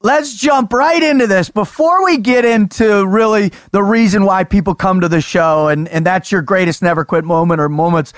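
An excitable man hosts a podcast, stacking up emphatic lines and showman flourishes. His voice sits high for a man, and the sound is close and dry. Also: moderate pace, hardly any pauses, no echo. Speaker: podcast host. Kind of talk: energetic podcast banter.

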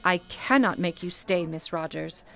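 A woman acting a low-voiced, motherly switchboard supervisor. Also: unhurried, creaky, a bit bright. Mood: stern, urgent. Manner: formal, firm, soothing. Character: stern but motherly female switchboard supervisor. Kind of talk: radio play acting, 1930s period drama.

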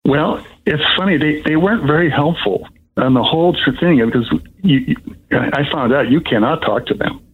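An older man, sixties or above, giving an unscripted, rambling personal account as a caller, in a halting way. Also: fairly high pitch, breathy edge, narrow, tinny audio. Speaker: caller telling of being scammed.

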